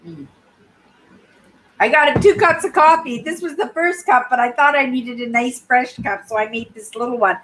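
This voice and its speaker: A woman in her sixties from Canada, chatting casually on her live stream in a clear, high-pitched voice and often ending sentences with "right?". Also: normal speed, fairly bright tone, rough recording. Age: 60s. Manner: rambling, tags sentences with "right?". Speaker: YouTube channel host.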